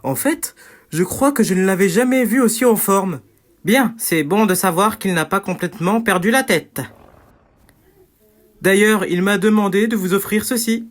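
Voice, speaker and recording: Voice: medium pitch, normal speed, close to an everyday voice. An actor voicing a smug, sly cartoon troublemaker who teases with false innocence and nonchalance. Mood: falsely cheerful. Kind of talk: cartoon dubbing. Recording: music behind the voice.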